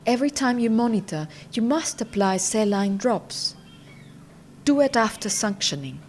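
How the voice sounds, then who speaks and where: A woman narrating a training film as an instructor, explaining in a measured way. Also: a little high, animated, darkish tone, a little hiss or hum.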